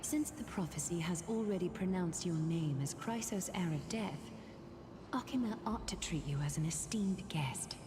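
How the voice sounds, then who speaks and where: A woman's voice: on the low side, moderate pace, background noise, natural voice. A woman playing a regal, calculating noblewoman in a video game, speaking in a stately, coolly composed, formal manner. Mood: cool, suspicious. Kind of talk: scripted English video game voice acting. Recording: echoing voice under game music. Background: game music.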